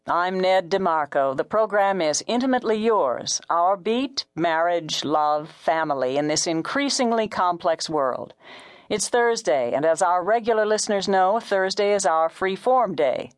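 A woman reader plays an easygoing male radio host in an audiobook. The delivery is smooth, assured and unhurried, in a lowish register. The sound is dry and close. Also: dry recording. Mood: easy, assured. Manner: relaxed, assured.

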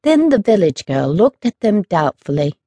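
A woman narrating a children's storybook in an expressive audiobook style. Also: medium pitch, normal speed, dry recording.

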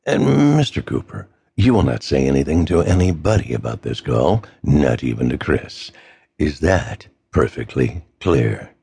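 A man voicing a cold, menacing male villain with a gravelly voice who threatens slowly. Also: a bass voice, sing-song, warm tone, no echo, voice pushed down, full character voice.

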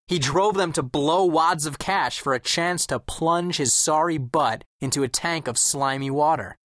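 Animated teenage boy narrator, dry studio sound. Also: a little high, no room sound. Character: observant, wry teenage boy telling his story. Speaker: first-person audiobook narrator. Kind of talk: scripted first-person story narration.

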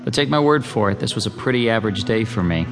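An actor playing an obsessed, lonely, self-doubting amateur artist in an audio drama, speaking earnestly and intensely. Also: male voice, fairly low pitch, animated, quick pace, over music. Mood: brooding, uneasy. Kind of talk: dramatized audio drama, first-person narration and dialogue.

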